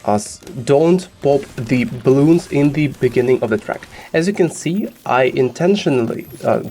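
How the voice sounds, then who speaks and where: A man's voice: medium pitch, a little mellow, slight background noise. Man with a sick voice explaining game strategy. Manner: instructive, many "uh" fillers. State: sick, slightly rough voice. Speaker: gaming guide host.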